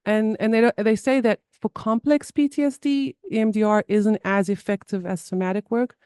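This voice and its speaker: A woman's voice: mid-range voice, steady tone, moderate pace, a little mellow, clean tone, no room sound, studio quality. A woman with a South African accent, a therapist speaking earnestly in an interview, often restarting and repeating words. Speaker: guest, somatic therapist. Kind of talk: conversational interview.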